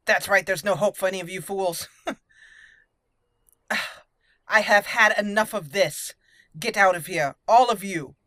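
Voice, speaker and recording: A woman's voice: medium pitch, slow, long pauses, sharp tone, dry recording, studio quality. A woman hosting a roleplay game playthrough, mixing commentary with theatrical, haughty in-character lines. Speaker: gaming host.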